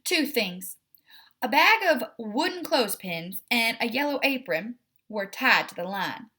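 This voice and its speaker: A woman narrates a children's story. The voice sits fairly high and moves up and down freely. It is expressive read-aloud storytelling. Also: a little slow. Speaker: story reader.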